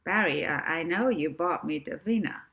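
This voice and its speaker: A woman's voice: fairly low pitch, normal speed, fairly bright tone. A woman voicing a glamorous, haughty New York theatre executive woman with a drawling manner in a storybook. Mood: scornful.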